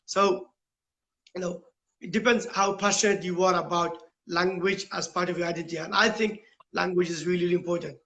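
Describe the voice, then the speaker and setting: High, bright-voiced British man, explaining earnestly. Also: fairly even intonation, a little fast. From United Kingdom. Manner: rhetorical questions, tags points with "right?". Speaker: interview guest, school principal. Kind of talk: earnest, explanatory interview talk.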